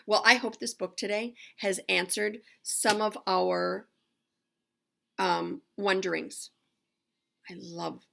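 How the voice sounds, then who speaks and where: Middle-aged woman, primary teacher reading aloud; bright voice with a creaky edge, clear emphatic explaining. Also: medium pitch. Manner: emphatic, explanatory, with questions to listeners. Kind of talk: read-aloud lesson for young children.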